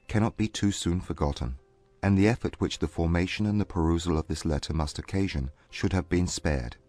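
An actor playing a proud, haughty young Regency gentleman in a dramatized audiobook, speaking in a formal, composed, measured way. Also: low-pitched, dry recording, close to an everyday voice. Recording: dry close recording with music behind. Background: music.

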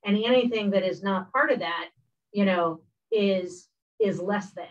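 A woman's voice: medium pitch, unhurried. A woman with a Spanish-speaking accent sharing thoughts in a video-call discussion, reflective and hesitant, with many "you know"s. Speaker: workshop participant. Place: home office. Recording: muffled, distant webcam audio.

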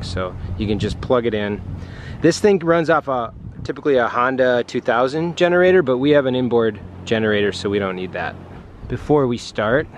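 A man casually explaining and coaching as a vlog host, talking to a handheld camera. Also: medium pitch. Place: outdoors on a sailboat deck. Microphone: handheld camera at arm's length. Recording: clear outdoor boat background noise.

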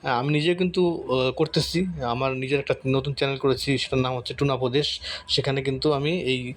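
A man's voice: medium pitch, fairly even intonation, brisk. A man hosting an informal step-by-step tutorial, explaining things in a repetitive way with frequent tag words. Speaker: tutorial host. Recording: clearly audible background noise.

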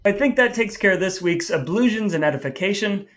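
A man's voice: sits high, lively intonation, fairly quick, fairly bright tone. A man co-hosting a podcast in a chatty style, with a wry, mock-formal manner. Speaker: podcast co-host.

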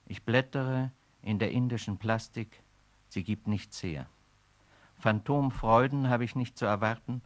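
An actor speaking a weary man's poetic inner monologue in a radio play, slow and brooding. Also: lowish voice, lightly acted. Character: weary, brooding man musing on decay.

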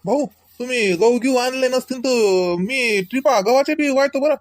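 A man's voice: very high voice, moderate pace, no room sound, clean studio sound. A man playing a humble, polite village delivery driver in a comedy cartoon, folksy and plain-spoken, with a Varhadi Marathi accent. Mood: eager, persuasive.

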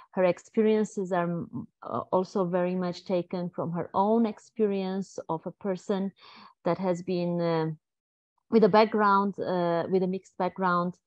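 A woman's voice: fairly low pitch, a little mellow, dry recording. A woman facilitating a webinar, giving a formal welcome and introduction in a welcoming way with frequent fillers. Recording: dry lo-fi video call audio.

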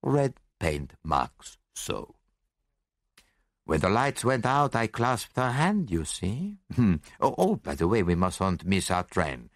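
A man voicing a shrewd, self-assured foreign detective in an audiobook, speaking briskly and precisely with little interjections. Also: on the low side, sing-song, no room sound, clean studio sound, heavily acted. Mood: brisk, pleased.

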